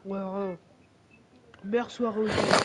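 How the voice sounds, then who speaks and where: A woman chatting excitedly and loudly with her friends in slangy casual speech. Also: medium pitch, moderate pace, a crowd in the background. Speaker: vlogger talking with friends. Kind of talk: casual chat among friends. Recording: muffled, noisy recording.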